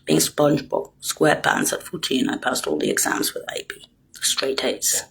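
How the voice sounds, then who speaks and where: An older woman with a very husky, breathy voice that sits low in her register. She rambles on, dropping to near-whispers and then punching words out loud, speaking straight at her listeners in an accusing tone. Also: very animated, normal speed. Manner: lurches between hushed whispers and loud emphatic bursts; accusatory, addresses listeners directly. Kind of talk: rambling monologue to camera.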